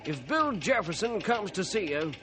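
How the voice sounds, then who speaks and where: A man playing a plucky, slangy railroad underling in a 1950s radio adventure, talking brisk and cheeky to his boss. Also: high-pitched, very animated, music in the background. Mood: urgent, cautioning.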